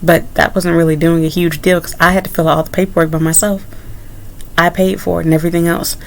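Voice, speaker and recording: A woman's voice: fairly low pitch, flat delivery, quick pace, gravelly. A young adult woman vlogger telling a personal story in a candid, rambling way, with frequent asides to her viewers. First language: English. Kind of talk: casual story-time monologue.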